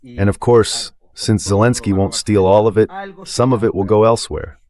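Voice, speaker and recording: A man's voice: on the low side, fairly even intonation, moderate pace, darkish tone. A man giving emphatic, sarcastic political commentary as a guest analyst, in a translated voice-over.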